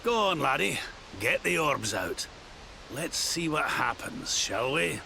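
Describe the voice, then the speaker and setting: A kindly elder in a fantasy game. His voice is gravelly, fairly high for a man and slow, with hissy noise behind. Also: very animated, full character voice. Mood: encouraging. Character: gruff, kindly old man, adventuring elder. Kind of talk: video game voice acting.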